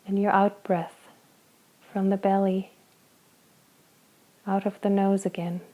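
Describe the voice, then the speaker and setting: A woman guiding a body scan meditation, speaking slowly and gently with long pauses between phrases. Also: mid-range voice, flat delivery, phone-quality sound. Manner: slow, soft, gentle guidance with long pauses. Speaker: meditation guide.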